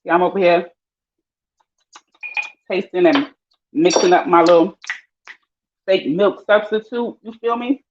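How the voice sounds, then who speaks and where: Panamanian lady cooking and chatting live, slangy, gets loud and squeaky when hyped, muffled sound. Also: measured pace, long pauses, a little hiss or hum. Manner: lively, chatty, slangy, swings high when excited. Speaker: vlogger. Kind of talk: casual live-stream chat while cooking. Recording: dull lo-fi audio.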